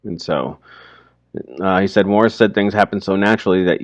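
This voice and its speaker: A man's voice: low voice, fairly quick, dry recording, lo-fi sound. A man giving casual, explanatory commentary on a story, like a teacher.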